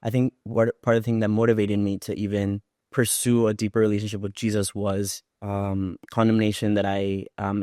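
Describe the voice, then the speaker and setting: A man's voice: on the low side, a little mellow, no room sound, studio quality. A man from Puerto Rico talking as a podcast guest, telling his personal story in a reflective, conversational way, often rephrasing himself.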